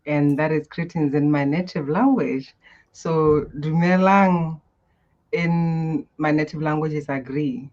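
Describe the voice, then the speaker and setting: Expressive woman. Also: deep voice, slow, dull audio. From Botswana. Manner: expressive, guides listeners through breathing. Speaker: guest coach. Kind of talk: animated interview answers.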